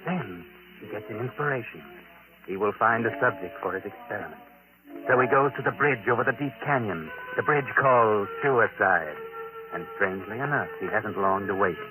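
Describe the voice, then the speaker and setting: A man's voice: lowish voice, natural voice, poor audio. A man playing a sinister, knowing narrator of a 1940s radio mystery, dramatic and ominous, on a vintage recording. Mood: suspenseful. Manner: dramatic, ominous, deliberate phrasing. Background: music.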